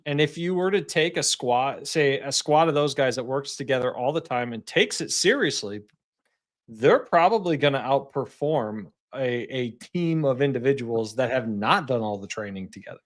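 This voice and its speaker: An easygoing man co-hosts a casual podcast, agreeing readily and working through his thoughts as he talks. His voice has a mid pitch and moves lively up and down. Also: normal speed. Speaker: podcast co-host.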